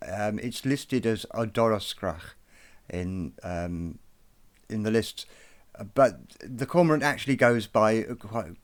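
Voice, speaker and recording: Slow, measured man explaining a lesson. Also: on the low side. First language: not Irish. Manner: slow and measured, careful over pronunciations. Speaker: presenter of an educational video.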